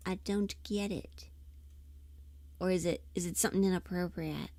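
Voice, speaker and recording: A woman's voice: lowish voice, fairly even intonation, normal speed, dark tone, no echo. A woman chatting casually on a livestream, scattered and giggly, thinking aloud as she goes, close to the mic. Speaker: livestream host and audio performer.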